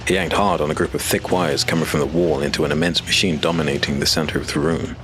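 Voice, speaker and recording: A man narrating a dark fantasy audio drama in measured, dramatic storytelling. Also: a bass voice, little pitch movement, background noise.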